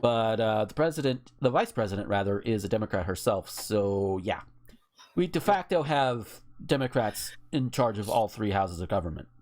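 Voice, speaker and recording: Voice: mid-range voice, lively intonation, normal speed, darkish tone. An American speaker in casual, opinionated political chat, speaking emphatically and swearing freely. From United States. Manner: emphatic, profane, outspoken. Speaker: podcast co-host.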